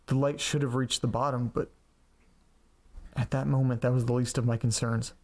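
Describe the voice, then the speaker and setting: Actor as gravelly, casual everyman in audio drama. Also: medium pitch, level tone, moderate pace, a little mellow, close to an everyday voice. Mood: grave, haunted. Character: quirky, scatterbrained man living with pets and old letters. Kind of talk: audio drama acting, first-person narration and casual dialogue.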